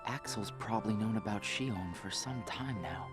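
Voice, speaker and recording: A man playing a confused, troubled and quietly resentful teenage boy in a video game cutscene. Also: lowish voice, normal speed, music in the background, close to an everyday voice. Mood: brooding, confused.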